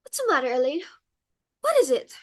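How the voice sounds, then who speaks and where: A woman's voice: high-pitched, big pitch swings, brisk. A woman playing a haughty, fretful society hostess in a stage comedy, exclaiming imperiously and melodramatically. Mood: exasperated. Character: haughty, fretful society matron hosting a dinner party. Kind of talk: theatrical stage comedy acting.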